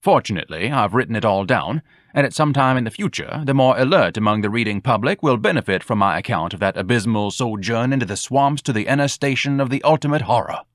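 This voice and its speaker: A man's voice: mid-range voice, lively intonation, fast, dry recording, clean studio sound, natural voice. A man voicing a pompous, self-pitying fat young man who speaks in lofty, grandiloquent phrases. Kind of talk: audiobook dialogue.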